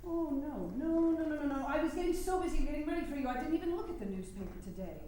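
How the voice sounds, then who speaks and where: Actress as fervent woman suffragist, historical stage drama, high pitch, emphatic delivery, very echoey room. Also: expressive, quick pace, warm tone. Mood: urgent, insistent. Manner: emphatic, insistent, theatrical. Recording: very echoey room with faint noise.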